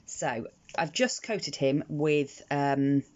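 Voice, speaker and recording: A British woman sounding croaky in the morning, chatting her way through a craft tutorial with frequent ums and restarts. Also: deep voice, drawn-out words, a little mellow. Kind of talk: casual step-by-step craft tutorial. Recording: slightly dull lo-fi audio with faint noise.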